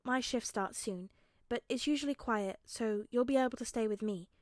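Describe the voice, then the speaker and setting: A woman voicing a kind, gentle, apologetic teenage boy hero in an earnest, reassuring way. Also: on the high side, moderate pace, no room sound, studio quality, natural voice.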